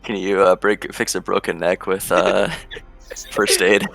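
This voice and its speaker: A man's voice: low voice, flat delivery, measured pace, creaky, a bit bright, soft background music. A man talking casually as a player in a tabletop role-playing game.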